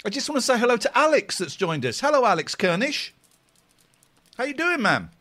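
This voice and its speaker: A man's voice: very high voice, big pitch swings, fairly quick, fairly bright tone. A middle-aged man with an English accent, chatting casually as host of a live phone-in stream. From England. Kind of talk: casual live chat with callers.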